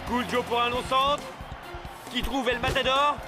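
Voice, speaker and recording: A man's voice: very high voice, steady tone, unhurried. A man voicing a keen football co-commentator in a cartoon. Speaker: match co-commentator. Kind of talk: animated sports commentary, French dub. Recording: loud music behind the voice.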